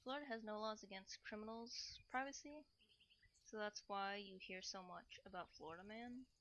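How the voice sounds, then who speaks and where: A woman with a bright voice at a medium pitch chats casually on a livestream while cooking. She is playful and rambling, repeating little phrases and dropping into cutesy broken grammar. There is some background noise with small clicks behind her. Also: moderate pace. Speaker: livestreamer chatting with viewers.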